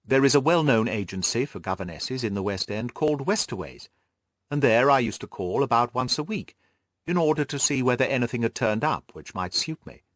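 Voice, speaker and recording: A man reading a classic story aloud as expressive, scripted literary narration for an audiobook. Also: medium pitch, a little fast, no room sound, studio quality.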